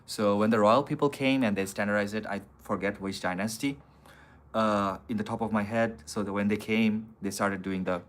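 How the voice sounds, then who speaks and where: A man's voice: on the low side, steady tone, normal speed. A man on a casual live stream, answering viewer comments in a blunt, profane, argumentative way. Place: city streets outdoors.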